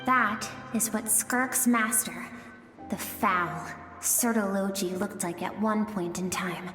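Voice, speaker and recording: A woman narrating game lore in a soft, confiding and unhurried way. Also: mid-range voice, echoey room, in a large hall, music in the background.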